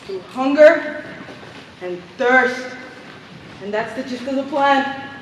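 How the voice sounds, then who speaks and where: A woman playing a militant female plotter in a stage play, declaiming with dramatic pauses. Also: sits high, lively intonation. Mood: fervent, rousing. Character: fervent, militant female plotter proposing grisly plans. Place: theater stage. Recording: distant, echoey theater hall, faint noise.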